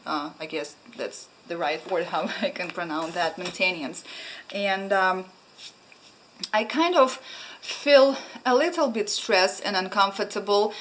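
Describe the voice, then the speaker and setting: A woman's voice: mid-range voice, fairly bright tone, poor audio. A young woman from Ukraine with a Russian accent, chatting casually to camera at home, hesitant with many ums and restarts. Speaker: vlogger. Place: small room at home, indoors.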